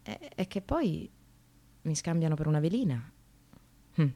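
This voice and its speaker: An actress playing a bitter, wry, educated receptionist in precarious work, delivering an expressive theatrical radio monologue. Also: female voice, low-pitched, unhurried, full character voice. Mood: wry, weary. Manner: sardonic, expressive, swearing, addressing the audience.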